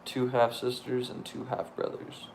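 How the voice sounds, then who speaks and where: A young man with a gravelly, creaky voice chats easily on a home live stream and keeps his answers short and relaxed. Faint room noise runs underneath. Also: medium pitch, a little slow, rough recording. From Montana. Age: young adult. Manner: laid-back, brief answers. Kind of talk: casual live stream chat.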